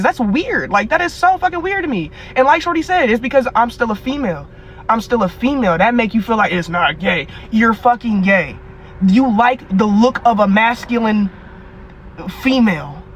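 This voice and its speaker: A woman with a deeper voice than most women, ranting bluntly and casually with a lot of profanity. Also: a little hiss or hum. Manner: blunt, animated rant with profanity. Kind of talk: casual rant.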